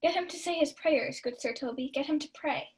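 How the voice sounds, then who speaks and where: A woman's voice: high voice, fairly quick, a little mellow, close to an everyday voice. A young woman playing a sly prankster feigning pious worry in a radio-style Shakespeare comedy. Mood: feigned alarm. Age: young adult. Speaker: student actor. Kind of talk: 1940s radio play Shakespeare acting.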